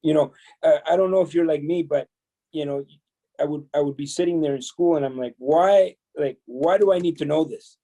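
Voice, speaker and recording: A man in his fifties, born in El Salvador, telling his life story as a podcast guest in a relaxed way, chuckling and full of fillers. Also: on the high side, fairly quick. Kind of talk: storytelling interview.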